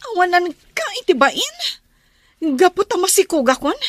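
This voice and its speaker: A woman's voice: extremely high pitch, sing-song, normal speed. An actress playing a hurt, reproachful young pregnant woman in an emotional Filipino-accented radio drama. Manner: plaintive, emotional.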